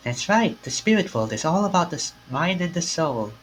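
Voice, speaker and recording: A streamer reads a visual novel's lines aloud in a very animated voice. The pitch climbs and drops a lot as the dialogue shifts from speaker to speaker. The delivery sits at a middle pitch overall. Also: normal speed, slight background noise.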